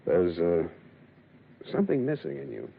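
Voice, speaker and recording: A man's voice: low voice, huge pitch swings, normal speed, creaky, phone-quality sound, slight background noise, exaggerated voice. An actor playing a cool, wisecracking male private detective with a dry, sardonic, slangy delivery in 1940s radio noir style. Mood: subdued, tender. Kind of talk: 1940s radio acting, noir narration and dialogue. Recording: thin vintage radio recording with music.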